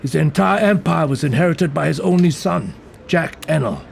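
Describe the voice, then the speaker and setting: Gravelly, blunt detective. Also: male voice, a little high, animated, moderate pace, slight background noise. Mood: businesslike, weary. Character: gruff, blunt, foul-mouthed male detective partner. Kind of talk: audio drama acting.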